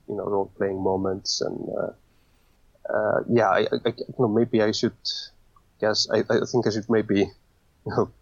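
Casting: man, low husky voice, dry close sound, halting podcast guest. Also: no room sound, dull audio. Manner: hesitant, many fillers and restarts. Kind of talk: casual interview talk.

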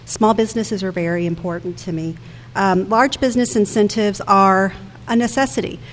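A woman's voice: medium pitch, normal speed. A woman in her forties giving earnest campaign remarks as a council candidate, speaking directly to listeners. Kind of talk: prepared campaign remarks at a public forum. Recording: echoey hall with background noise.

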